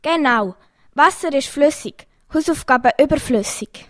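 A woman reading riddles and jokes aloud in an even, matter-of-fact way. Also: high-pitched, normal speed, no echo, clean studio sound.

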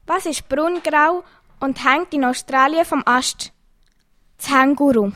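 A woman reading riddles and jokes aloud in an even, matter-of-fact way. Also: high voice, takes it slowly, dry recording.

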